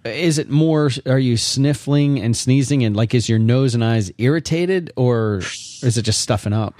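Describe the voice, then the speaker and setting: Man, podcast co-host, casual chat, deadpan, matter-of-fact, dry close recording. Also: mid-range voice, unhurried, no room sound.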